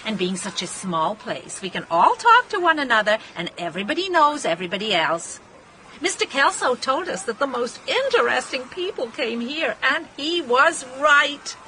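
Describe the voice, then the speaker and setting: A woman's voice: sits high, sing-song, normal speed, sharp tone, a little hiss or hum, exaggerated voice, poor audio. A woman playing a chatty, domineering middle-aged American wife in a radio drama, gushing and forever seeking agreement. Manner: gushing, garrulous, seeks constant agreement.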